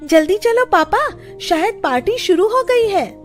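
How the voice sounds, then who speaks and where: A woman voicing an excitable young daughter in a children's cartoon story, with a childlike, exclaiming manner. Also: extremely high pitch, unhurried, soft background music, voice pushed up. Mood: eager. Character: excitable young daughter, eager, easily scared.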